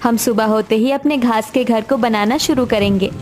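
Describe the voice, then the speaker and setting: A devoted, hopeful young daughter-in-law from a poor cartoon household, played by a woman, speaks in a highish voice. There is music behind her lines. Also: moderate pace, darkish tone, natural voice. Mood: determined, hopeful. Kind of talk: cartoon dialogue acting.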